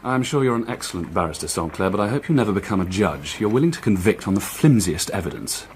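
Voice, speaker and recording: A man's voice: fairly low pitch, big pitch swings, a little hiss or hum. An actor playing a brilliant, cocky young male journalist and amateur detective in a radio drama, eager and teasing. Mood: teasing, persuasive. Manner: eager, brisk, self-assured, teasing.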